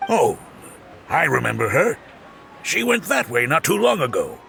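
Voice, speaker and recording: Helpful city guard in a game, gravelly and bright, super expressive. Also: male voice, fairly high pitch, normal speed, soft background music, exaggerated voice. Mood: matter-of-fact. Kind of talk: video game voice acting.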